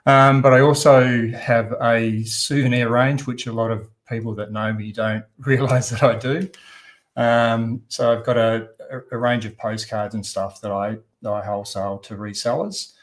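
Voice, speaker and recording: A man's voice: mid-range voice, steady tone, moderate pace. Middle-aged Australian man chatting. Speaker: podcast guest, landscape photographer. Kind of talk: casual interview chat.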